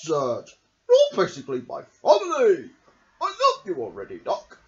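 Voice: mid-range voice, huge pitch swings, a bit bright, voice pushed down. A storybook reader voicing a grumpy, weary bear who wants to be left alone, exasperated and drawling. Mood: reluctantly fond. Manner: exasperated, drawn-out, groaning and sighing. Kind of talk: picture book read-aloud character voice. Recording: dull lo-fi home recording.

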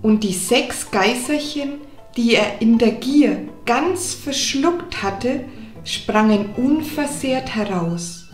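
A woman narrating a scripted children's fairy tale deliberately, pausing between phrases. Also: fairly high pitch, expressive, roomy sound, soft background music. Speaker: storyteller.

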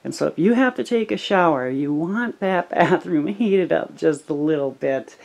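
A woman casually narrating a tour of her small cabin, filming with a handheld camera, chatty and relaxed with lots of ums. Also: low-pitched, moderate pace, vocal fry. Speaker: homesteading vlogger giving a home tour.

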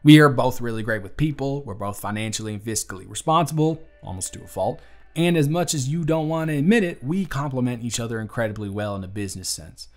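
A man's voice: medium pitch, lively intonation, quick pace, lightly acted. A man with a Southern US accent playing an earnest, charming young bartender in love in an intimate audio roleplay. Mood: earnest, admiring. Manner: earnest, conversational, sweet-talking. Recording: music playing under the voice. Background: acoustic music.

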